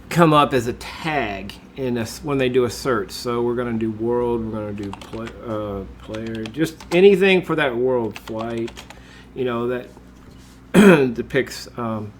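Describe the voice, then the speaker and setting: Congested man giving a software tutorial. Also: mid-range voice, slight background noise. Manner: relaxed, with frequent uh and um fillers. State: congested from a cold. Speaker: tutorial host. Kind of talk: screen-recorded software tutorial.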